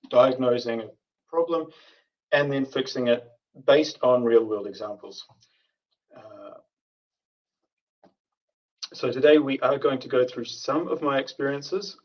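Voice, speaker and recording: A man giving a prepared tech conference talk in a measured way, with frequent filler sounds. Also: medium pitch. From New Zealand. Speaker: conference speaker, software architect. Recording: distant, dull remote call audio.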